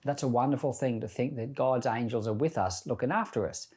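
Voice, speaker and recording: A man's voice: mid-range voice, a little fast, darkish tone, breathy edge. A man speaking as a pastor, giving informal Bible teaching in a reflective, conversational storytelling manner.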